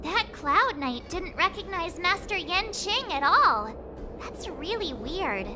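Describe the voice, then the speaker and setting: A high, teasing young heroine. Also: female voice, big pitch swings, slow, over music, full character voice. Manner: bright teasing chatter. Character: cheerful young woman adventurer, playful and teasing.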